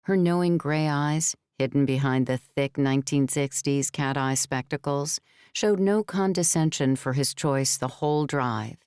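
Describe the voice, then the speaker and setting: Female audiobook narrator: deep for a woman, crisp scripted storytelling, very dry studio recording. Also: unhurried, darkish tone, dry recording.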